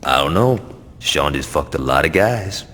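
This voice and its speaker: An actor playing a tough, hot-tempered, foul-mouthed gangster enforcer in a video game, blunt and sardonic. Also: male voice, very deep voice, animated, normal speed, a bit bright, a little hiss or hum. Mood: sardonic, hostile. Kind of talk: video game cutscene acting.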